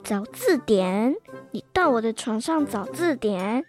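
A woman's voice: a little high, sing-song, takes it slowly, soft background music. A schoolgirl acting a whiny, indignant young sister in a children's radio drama. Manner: whiny, protesting.

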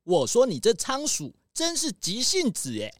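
一位女演員在兒童廣播劇中扮演一隻不慌不忙、隨和的公穿山甲。. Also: female voice, a little high, huge pitch swings, normal speed, no echo, studio quality. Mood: unruffled. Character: unhurried, laid-back male pangolin tourist. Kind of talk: children's detective audio drama acting.

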